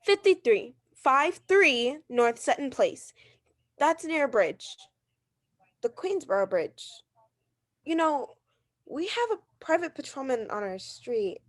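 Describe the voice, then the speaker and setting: Woman acting a neurotic, high-voiced invalid wife. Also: big pitch swings, slow pace, full character voice. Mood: insistent. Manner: insistent, fretful, stammering when challenged. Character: neurotic, imperious, anxious bedridden wife phoning the police. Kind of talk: 1940s radio drama acting.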